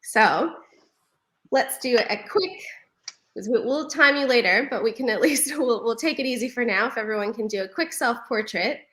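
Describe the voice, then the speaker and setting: A woman's voice: on the high side, expressive, moderate pace, fairly bright tone. A woman from New York City moderating a live online panel over a video call, upbeat and laughing often. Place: home living room.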